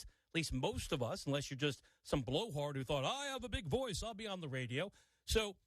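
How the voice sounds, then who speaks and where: Lively, opinionated sports radio man. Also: high voice, no echo, poor audio. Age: older. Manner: opinionated, animated, wry asides. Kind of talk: sports talk radio monologue. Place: radio studio.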